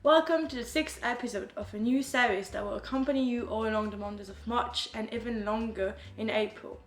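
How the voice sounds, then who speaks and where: A woman's voice: a little high, fairly quick. French woman hosting, echoey room. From France. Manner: reads a bright scripted welcome, then asks questions with many "like"s. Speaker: podcast host. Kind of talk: scripted intro then casual chat.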